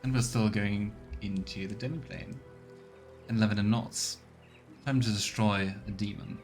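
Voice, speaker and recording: A man gives casual let's play commentary in a fairly low voice. He muses aloud and reacts to the game as it unfolds. Faint music plays behind him. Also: steady tone, unhurried. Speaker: streamer playing through a game.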